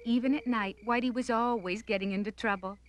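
A woman's voice: a little high, fairly even intonation, a little fast, a little hiss or hum. A woman narrating a scripted children's cartoon story.

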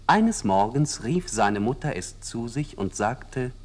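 A man narrating a scripted fairy tale as storyteller, in a measured and expressive manner. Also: medium pitch.

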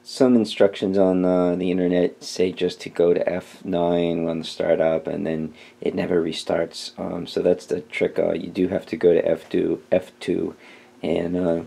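A man's voice: low-pitched, flat delivery, moderate pace, vocal fry, dark tone. A man hosting a tutorial, talking through steps in a casual, informal how-to style. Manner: informal, step-by-step instruction. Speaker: tutorial host.

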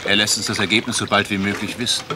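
A man's voice: lowish voice, flat delivery, fast, close to an everyday voice. A man playing a courteous, dryly skeptical amateur investigator in a radio crime drama, questioning politely. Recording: clear background noise.

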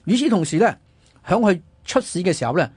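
A man with a Hong Kong Cantonese accent telling history conversationally as a radio host, animated and fond of rhetorical questions. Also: sits high, brisk, husky, dry recording. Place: radio studio.

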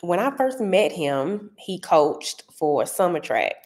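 A woman in her thirties with a St. Louis accent, chatting casually to viewers from her dining room, animated and often searching for words. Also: lowish voice, slow. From Saint Louis area. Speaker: vlogger answering viewer comments. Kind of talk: casual chat.

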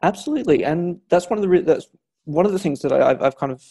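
A man's voice: a little high, expressive, quick pace, mellow, no room sound, clean studio sound. A man who is a historian, giving long, discursive answers in an interview, with frequent hesitations and restarts. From not from Scotland; Scottish family. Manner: discursive, many fillers and restarts. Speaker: historian guest.

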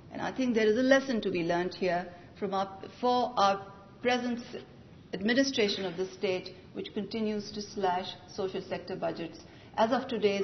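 A woman giving a measured, formal policy speech at a conference podium in a large hall. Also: mid-range voice, muffled recording, slight background noise. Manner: measured, articulate, formal delivery with occasional restarts. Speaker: conference panelist. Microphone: podium microphone, recorded from the audience.

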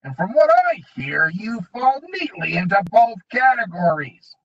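A sneering goblin gang boss, as a man puts him on for an audiobook, snarling his taunts high and swooping up and down. The voice is husky, and the recording sounds dull and lo-fi. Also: very animated, measured pace, a bit bright, heavily acted. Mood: menacing. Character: sneering male goblin gang leader, bullying.

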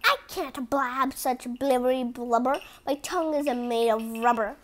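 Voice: high-pitched, unhurried, slight background noise. A reader voicing an exasperated male furry creature from a storybook, whiny and protesting. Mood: complaining.

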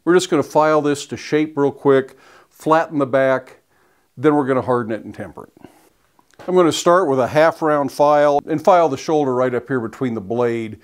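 A middle-aged blacksmith explaining step by step in a plain, unhurried way as he demonstrates forging in a small workshop. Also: mid-range voice. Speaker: blacksmith instructor. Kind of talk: hands-on demonstration. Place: small workshop forge, indoors.

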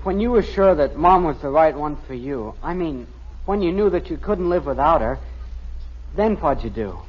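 American teenage boy, lovestruck, naive, earnest, animated, muffled vintage radio comedy. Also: on the high side, moderate pace, breathy edge. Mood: pleading. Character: lovestruck, earnest fifteen-year-old boy, the son. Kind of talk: 1950s radio sitcom acting. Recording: muffled old radio broadcast, faint hiss.

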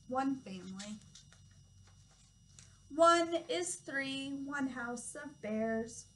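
A woman's voice: sits high, a bit bright, poor audio. A young woman, a toddler teacher, reading a picture book aloud with simple, instructive phrasing aimed at small children. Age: young adult. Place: small classroom, indoors.

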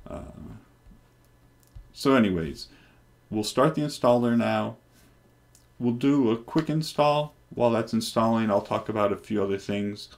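A man in his forties on a headset mic, casually talking through a software install with many ums and restarts. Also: mid-range voice, unhurried, gravelly, long pauses. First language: English. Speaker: developer presenting hardware. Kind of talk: casual screen-recorded tech demo. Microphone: headset microphone.

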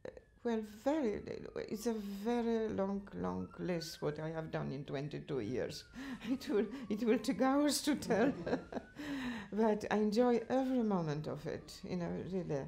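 An older woman from Poland with a Polish accent, chatting about her volunteer work in an interview, laughing often and speaking in halting, non-native sentences. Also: mid-range voice, expressive, vocal fry, mellow, a little hiss or hum. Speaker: interviewee, cultural association chair. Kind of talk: reminiscing interview answers. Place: living room at home, indoors.

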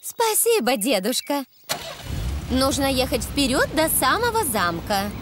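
Cartoon mother pig: sensible, gently teasing, high and lively, music behind. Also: a little slow, over a noisy crowd. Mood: practical, mildly exasperated. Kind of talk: dubbed preschool cartoon acting.

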